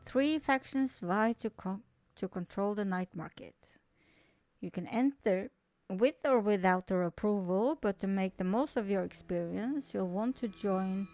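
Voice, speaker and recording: A woman's voice: mid-range voice, a little mellow. A woman whose first language is not English, reading an article aloud with casual commentary as a video host, often stumbling over words and restarting. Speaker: gaming video creator and host.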